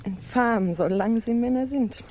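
An actress playing a worldly, bitter married lady in a 1950s German radio drama, measured and ironic, drawing out key words. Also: on the high side, warm tone, a little hiss or hum, natural voice. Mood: bitter, scornful.

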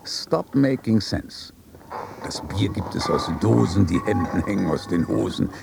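An elderly noble statesman for radio drama. His deep-set voice is gravelly and crackles at the edges. He declaims with pompous, dry irony, like someone weary of the world he judges. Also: animated, a little slow, full character voice. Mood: scornful, dry. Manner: pompous, sardonic, ornate declamation. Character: aristocratic old statesman father in heaven, wry and world-weary. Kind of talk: literary radio drama dialogue.

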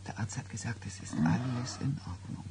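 A woman's voice: a bass voice, expressive, gravelly, heavily acted. An actress playing a reassuring, practical woman comforting a friend at her bedside in a radio drama. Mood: soothing. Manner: reassuring, hushed, sometimes brisk. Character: soothing, practical female friend at a bedside, evasive. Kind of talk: 1990s German radio drama acting. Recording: faint hum and noise.

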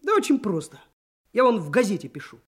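An actor playing a brash, self-assured young Komsomol trainee detective in a Soviet radio drama. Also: male voice, high voice, huge pitch swings, a little fast, fairly bright tone, slightly rough, full character voice. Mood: breezy, persuasive. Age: young adult. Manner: brash, confident, lecturing. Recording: 1980s radio drama, music behind.

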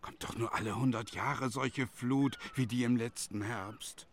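An actor playing an ageing village schoolmaster, frail, wistful and resigned, speaking hesitantly and musingly in a German radio drama. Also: male voice, medium pitch, fairly even intonation, unhurried, slight background noise, lightly acted. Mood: wistful, probing. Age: older. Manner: hesitant, musing, breaking into recited verse.

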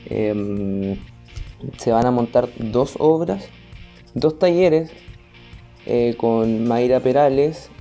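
A man's voice: mid-range voice, warm tone. A man with a Chilean accent talking casually as an interview guest, in an informal, colloquial and hesitant way. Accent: Chilean Spanish. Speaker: podcast and theatre artist interviewed as guest.

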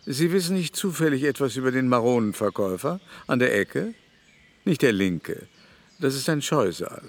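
Haughty, gravelly older baron. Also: male voice, mid-range voice, lively intonation, normal speed. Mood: disdainful, prying. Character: grey-haired aristocratic baron, haughty, fussy and lecherous. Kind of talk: radio drama dialogue.

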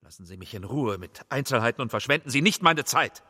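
An actor playing an obsessive, commanding film director in a German radio drama, insistent and emphatic. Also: male voice, medium pitch, expressive, brisk, pushed and bright, slightly rough. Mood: cold, demanding. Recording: reverberant hall with music bed.

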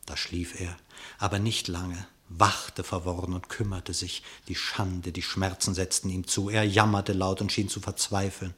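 Low-voiced man declaiming dramatic verse. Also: unhurried. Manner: declamatory, expressive storytelling. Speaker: narrator. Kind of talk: dramatic verse narration, German radio play.

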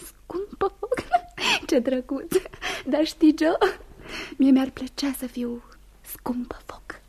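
Radio drama: wide-eyed girlish young sweetheart, breathy and coy, high mellow voice. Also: animated. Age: young adult. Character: sweet, girlish, easily thrilled young woman in love. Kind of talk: radio theatre acting.

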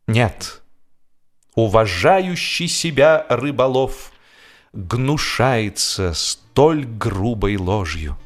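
Man, humorous audiobook narration, ironic, theatrical, lively intonation, slow deliberate pacing. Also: medium pitch. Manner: expressive, ironic, deliberate pauses and repetitions.

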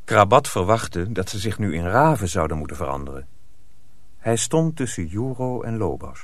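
Guy narrating a radio play, dramatic storytelling, lowish voice, very dry recording. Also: big pitch swings, moderate pace, no room sound.

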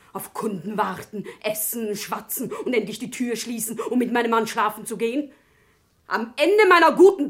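A defiant shopkeeper's wife of old Paris in classic radio drama style. Her voice is high and husky, and she pleads with fervent, theatrical intensity. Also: fairly bright tone.

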